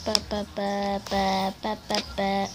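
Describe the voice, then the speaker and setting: A young girl giving casual commentary while playing a game on a tablet, chatty and quick to react loudly to other players. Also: medium pitch, monotone. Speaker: gamer. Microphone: tablet microphone. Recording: loud background noise.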